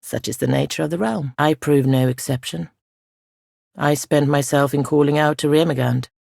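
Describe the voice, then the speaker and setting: Casting: ancient female ghost guardian for a fantasy game. Soft, heavily creaky, solemn and weary, formal diction, very close dry sound. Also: deep voice, fairly even intonation, dry recording, exaggerated voice. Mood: solemn, aloof. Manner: solemn, measured, archaic formal phrasing. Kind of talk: video game character dialogue.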